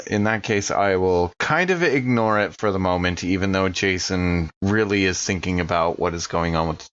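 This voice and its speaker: A man's voice: sits low, normal speed, fairly bright tone, highs cut off. A man playing in a tabletop role-playing game, talking casually in and out of character. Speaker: player.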